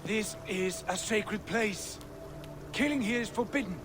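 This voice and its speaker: A man voicing a calm, pragmatic warrior god in a video game, speaking firmly and reasonably. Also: high voice. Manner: firm, reasoning, measured. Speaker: game character. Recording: game audio with steady noise.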